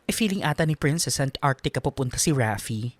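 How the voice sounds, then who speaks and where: Sassy filipino bestie, deep voice, super dramatic swoops, gossipy, radio drama. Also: female voice, lively intonation, fairly quick, no room sound. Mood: dramatic, mocking. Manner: exaggerated, teasing delivery. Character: sassy, gossipy young friend, teasing and dramatic.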